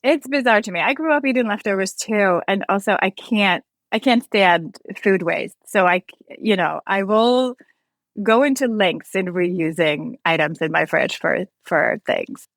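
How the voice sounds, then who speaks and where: A woman's voice: medium pitch, a little slow, dry recording. A woman in her forties from North Iceland, a guest in a casual interview, talking candidly and reflectively with restarts; Icelandic is her first language.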